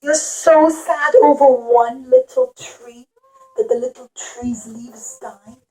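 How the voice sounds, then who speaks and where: A woman's voice: high voice, takes it slowly, mellow, poor audio. A woman voicing a reproachful yet forgiving God, speaking simply and emphatically in a children's puppet story. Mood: gently reproachful.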